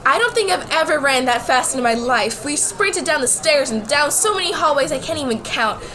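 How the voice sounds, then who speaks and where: A woman's voice: high voice, expressive, normal speed, music in the background. A woman playing a nervous, bewildered schoolgirl who tells her own story in an amateur radio play. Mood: breathless recounting. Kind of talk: first-person story narration and acted dialogue in an amateur radio play.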